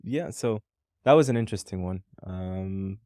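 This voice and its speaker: A man's voice: sits low, normal speed, warm tone, dry recording, studio quality. A man co-hosting a podcast, reminiscing in a thoughtful, meandering way with frequent "um"s. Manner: thoughtful, rambling with many "um"s. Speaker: podcast co-founder and co-host. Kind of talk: casual podcast conversation.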